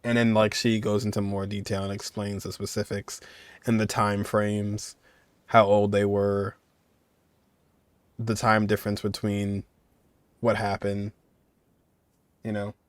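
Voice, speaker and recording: Guy streamer chatting over a game, thinking out loud, informal, normal pitch, dry mic sound. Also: completely flat delivery, measured pace, long pauses, clear, resonant tone, no echo. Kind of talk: casual playthrough commentary.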